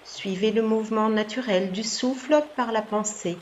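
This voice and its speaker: A woman yoga nidra instructor giving soft guided relaxation instructions in a calm, soothing, unhurried manner with long pauses. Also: medium pitch, dull audio, slight background noise.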